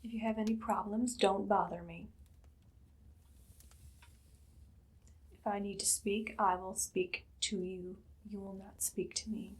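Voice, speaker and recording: Woman playing a breathy, whispery evil queen. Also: medium pitch, fairly even intonation, lightly acted. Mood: cold, stern. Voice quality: breathy, slipping often into whisper. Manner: imperious, deliberate, sweetly sinister. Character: evil haughty queen, casually menacing, commanding a captive servant. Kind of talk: ASMR roleplay, soft-spoken and whispered.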